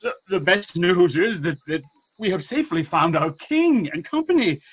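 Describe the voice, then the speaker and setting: An actor playing a flustered, amazed ship's boatswain in a Shakespearean radio play, rough-voiced, halting and excitable. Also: male voice, high-pitched, highs cut off, a little hiss or hum. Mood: bewildered, excited.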